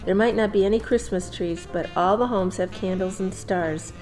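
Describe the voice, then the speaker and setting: A woman playing an affectionate, practical young mother in a radio play, reassuring and gently firm. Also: mid-range voice, moderate pace, natural voice, poor audio. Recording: music behind the voice.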